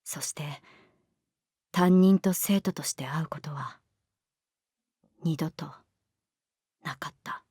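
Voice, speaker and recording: A woman playing a stubborn but fragile runaway middle-school girl in a Japanese voice drama, speaking softly and haltingly with emotion. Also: low voice, slightly rough, dry recording, studio quality, heavily acted. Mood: wistful narration.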